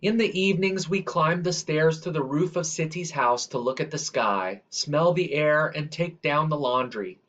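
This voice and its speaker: A man with a medium-pitched voice narrates a children's storybook. His delivery is measured and expressive, lingering on the ends of phrases. The recording is somewhat dull and lo-fi. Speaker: storyteller reading a children's picture book aloud. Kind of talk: read-aloud scripted narration.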